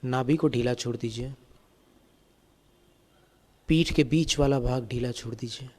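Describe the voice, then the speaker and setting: A man guiding a meditation as a spiritual teacher, giving calm, gentle, step-by-step instructions. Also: mid-range voice, unhurried. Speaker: Jain monk and meditation teacher.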